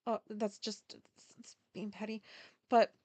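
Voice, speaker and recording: A woman's voice: mid-range voice, little pitch movement, unhurried, dark tone, no echo. A middle-aged woman raised in Japan, hosting a solo podcast and venting in a profane, rambling way full of fillers and asides. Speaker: podcast host.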